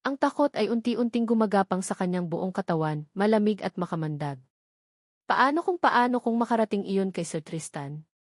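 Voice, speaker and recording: Female narrator, dramatic suspenseful storytelling, mid-pitch, dry close voice-over. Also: animated, normal speed, dry recording.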